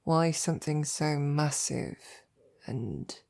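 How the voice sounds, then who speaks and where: A woman playing a devoted, self-doubting female royal knight in an intimate roleplay audio, speaking in a hesitant, informal, tender way with a low, gravelly voice. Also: takes it slowly, darkish tone, heavily acted. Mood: curious, gentle.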